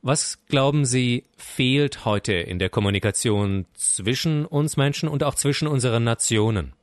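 A man conducting an interview, asking polite, formal, measured questions. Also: mid-range voice, no room sound, clean studio sound.

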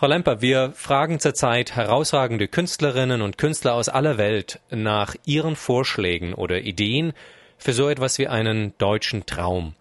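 A man conducting an interview and reading formal, prepared questions in a polite, measured way. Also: medium pitch, lively intonation, dry recording.

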